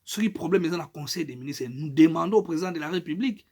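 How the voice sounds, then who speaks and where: Husky, high-voiced Congolese man speaking out. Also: rapid-fire. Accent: Congolese French. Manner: emphatic, rising and falling loudness, mixes in Lingala phrases. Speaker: political activist. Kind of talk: impassioned political statement.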